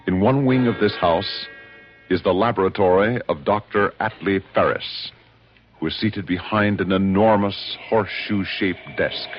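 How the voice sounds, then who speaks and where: A man narrating an old radio drama in a measured, suspenseful, scripted style. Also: sits low. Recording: muffled old radio broadcast. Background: music.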